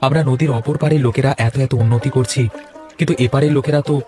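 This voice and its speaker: A man's voice: medium pitch, fairly even intonation, fast talker, over music, close to an everyday voice. A man voicing a prosperous, kind-hearted merchant and elder brother in a cartoon, caring and earnest. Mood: compassionate, earnest. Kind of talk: Bengali fairy-tale cartoon dialogue.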